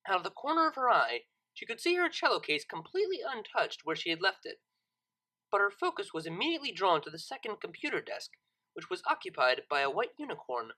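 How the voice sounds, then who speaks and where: A woman's voice: on the low side, sharp tone, poor audio. A woman reading a story aloud as a narrator, in a dramatic scripted style. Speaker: audiobook narrator.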